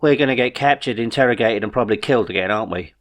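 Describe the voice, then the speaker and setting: A man's voice: mid-range voice, rapid-fire, natural voice. Man playing a bewildered, grumbling space traveller. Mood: despondent. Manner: questioning, doubtful, despondent. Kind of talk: audiobook character dialogue.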